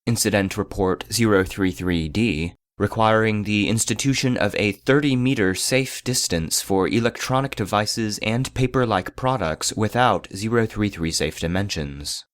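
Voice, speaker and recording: An actor playing an impassive archive database voice, reading classified reports in a formal, measured, clinical way. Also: male voice, lowish voice, no echo, clean studio sound, lightly acted. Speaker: narrator. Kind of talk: scripted narration, clinical report.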